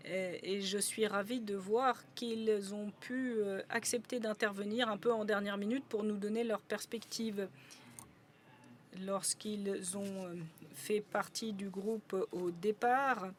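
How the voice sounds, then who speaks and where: A woman's voice: medium pitch, slow pace, a little hiss or hum. Woman interpreting with frequent hesitations. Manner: steady relay with frequent hesitations. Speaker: conference interpreter. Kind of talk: simultaneous interpretation of a panel.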